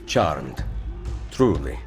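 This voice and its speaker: An actor playing a composed, courteous Italian assassin leader in a video game, speaking in a measured, dry way with an Italian accent. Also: male voice, very deep voice, very animated, creaky, faint music behind, heavily acted. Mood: dry courtesy. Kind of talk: video game cutscene acting.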